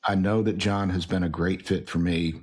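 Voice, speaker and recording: A middle-aged man hosting an interview podcast, friendly and a little halting. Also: low voice, steady tone, a little mellow. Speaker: podcast host.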